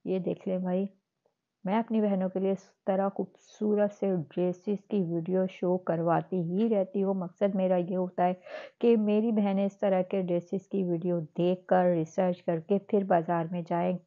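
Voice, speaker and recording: A woman's voice: lowish voice, little pitch movement, moderate pace. A woman with a Karachi Urdu accent chatting casually to her viewers while showing dresses in a clothing shop. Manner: chatty, addresses viewers as sisters. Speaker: shopping vlogger. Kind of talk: casual shopping walkthrough. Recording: phone-like narrow sound.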